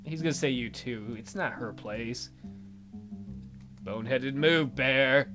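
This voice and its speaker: A man's voice: mid-range voice, takes it slowly, over music. A man hosting a let's play, reading a visual novel aloud as dramatic narration with joking asides.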